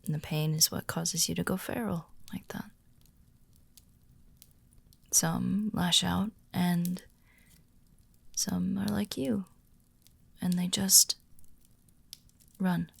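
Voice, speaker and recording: A woman performs a calm female werewolf of the pack in an intimate audio roleplay. Her voice is lowish and very gravelly, often sinking to a breathy near whisper. She speaks slowly and gently, reassuring a newcomer with care. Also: no room sound, exaggerated voice. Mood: quietly explaining. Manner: slow, gentle, reassuring, with deliberate pauses. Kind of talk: soft-spoken immersive ASMR-style audio roleplay.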